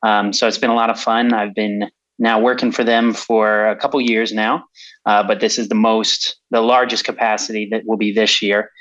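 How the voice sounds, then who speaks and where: Low-voiced man on a dull call line. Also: normal speed, dry recording. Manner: relaxed, filler-heavy talk. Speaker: filmmaker, podcast guest. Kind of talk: casual interview answers. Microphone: remote call.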